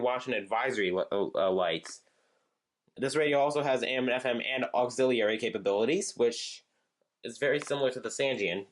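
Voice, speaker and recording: A young man rambling casually as he shows off his gadgets, with frequent fillers, halting restarts and side remarks. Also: medium pitch, a little hiss or hum. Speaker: channel host showing weather radios. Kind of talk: casual show-and-tell chat.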